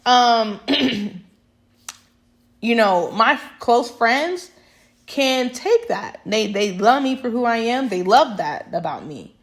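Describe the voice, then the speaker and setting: A woman's voice: fairly high pitch, lively intonation, slow pace. A young woman talking straight to camera in a passionate, emphatic monologue that rambles with restarts. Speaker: vlogger.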